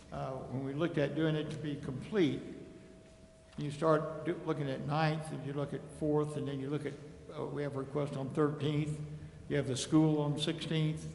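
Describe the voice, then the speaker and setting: A man's voice: a little high, creaky, darkish tone. A man explaining technical details informally as a staff member at a public meeting in a large council chamber. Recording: echoey meeting hall, faint noise.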